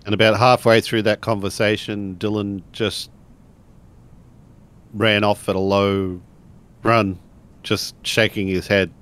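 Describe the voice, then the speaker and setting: A man's voice: on the low side, little pitch movement, takes it slowly, pauses often, fairly bright tone, clear, resonant tone. A man narrating and acting out his character in a tabletop role-playing game.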